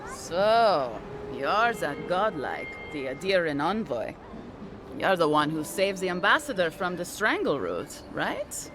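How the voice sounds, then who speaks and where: An actress voicing a chatty, earnest townswoman in a video game, talking in a gossipy, animated way. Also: fairly low pitch, slow, noisy background. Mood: gossipy, curious. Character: chatty, earnest townswoman asking help for friends. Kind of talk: video game character dialogue.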